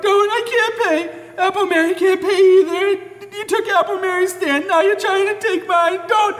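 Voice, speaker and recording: Frail, high-pitched, delirious old beggar. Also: fairly even intonation, fairly bright tone, soft background music. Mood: terrified, delirious. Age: older. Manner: halting, pleading, repetitive. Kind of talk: live stage radio drama acting. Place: church hall stage. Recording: echoey hall, live stage recording.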